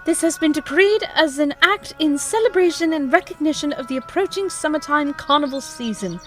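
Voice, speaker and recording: A woman's voice: sits high, animated, fairly quick, soft background music. A woman playing a prim, genteel young wife who speaks formally and teases her husband in an amateur audio drama.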